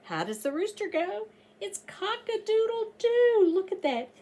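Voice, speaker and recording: A middle-aged woman reading aloud to young children like a teacher, sing-song and animated, often breathy, stretching key words and putting questions to her listeners. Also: very high-pitched, unhurried. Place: small indoor room, seated by a door.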